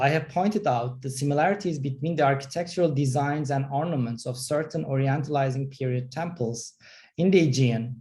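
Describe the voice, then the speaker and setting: A man in his forties from Turkey, an art history professor giving a formal prepared self-introduction in a measured, steady way. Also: medium pitch.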